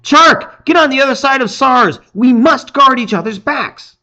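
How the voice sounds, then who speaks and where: A man's voice: very high voice, animated, unhurried, bright, forceful tone, dull audio, pitched above the performer's own voice, heavily acted. A man voicing a bold, alert young hero in a storybook adventure. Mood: urgent shouting.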